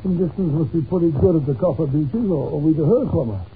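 An actor playing a detective's bluff, well-meaning, slightly naive companion in a radio drama, huffing and conversational. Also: male voice, fairly high pitch, fast talker, natural voice. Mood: doubtful, bluff. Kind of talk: old-time radio drama acting. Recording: muffled, noisy old-time radio recording.

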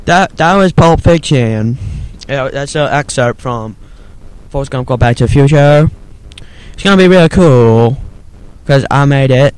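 A man voicing a slow-witted, childlike man, rambling haltingly in a comedy podcast monologue. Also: medium pitch, expressive, a little mellow, clean tone, close to an everyday voice, low-quality recording. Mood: boastful, rambling. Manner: rambling, halting, simple-minded.

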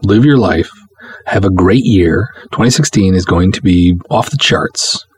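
A man's voice: deep voice, measured pace. A man hosting a podcast, talking directly to his listeners in a monologue. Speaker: podcast host, writer and director. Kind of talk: podcast monologue, motivational announcements.

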